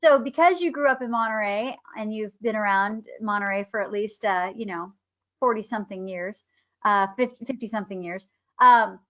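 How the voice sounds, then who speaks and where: A woman's voice: mid-range voice, moderate pace, fairly bright tone, muffled recording. A woman in her fifties hosting an interview show, bubbly and chatty. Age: 50s. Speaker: host. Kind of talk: casual interview chat.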